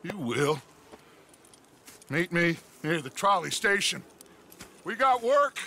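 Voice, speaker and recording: An actor playing a slow-talking older male mentor with a gravelly voice. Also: high voice, big pitch swings, pushed and bright, slight background noise, exaggerated voice.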